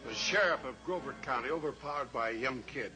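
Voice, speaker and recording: An actor playing a flustered, blustering small-town sheriff in a 1950s radio drama. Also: male voice, mid-range voice, fast talker, pushed and bright, lightly acted. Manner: blustering, exasperated. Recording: old radio recording with music.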